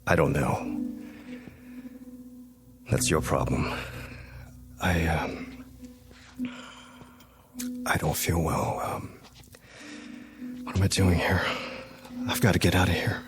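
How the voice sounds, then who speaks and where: A man's voice: sits low, slow, pauses often, over music. An actor playing an uneasy, brooding young man in a radio drama, hesitant yet intense. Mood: accusing. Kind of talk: dramatic radio play acting.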